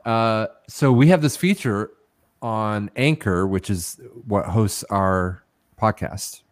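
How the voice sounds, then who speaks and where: A man's voice: lowish voice, takes it slowly. A middle-aged man co-hosts a laid-back podcast and teases himself with wry humor. He sounds close and dry, with no room echo. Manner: wry, self-mocking banter. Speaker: podcast co-host. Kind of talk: casual chat.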